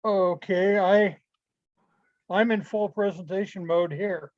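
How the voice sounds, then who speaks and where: A man giving an informal club presentation over a video call, speaking haltingly with pauses. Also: high voice, steady tone, darkish tone, dry recording. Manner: halting, pausing delivery. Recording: dull, lo-fi video call audio.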